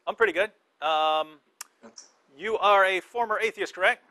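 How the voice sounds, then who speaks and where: A man hosting an interview show, teasing his guest and halting often over his words. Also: high-pitched, bright, forceful tone. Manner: joking, halting, restarts often. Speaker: host.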